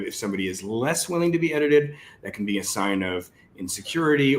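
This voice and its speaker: A man with a fairly low voice hosts an interview in a conversational style. He feels his way tentatively through long questions, rewording as he goes. Faint ambient noise is audible behind him. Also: a bit bright. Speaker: interview host.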